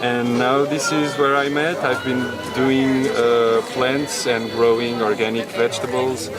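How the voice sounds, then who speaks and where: A man from Portugal, a herbalist stallholder, talking haltingly with many fillers in a casual interview. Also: mid-range voice, little pitch movement. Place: outdoor market. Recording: loud music and crowd outdoors.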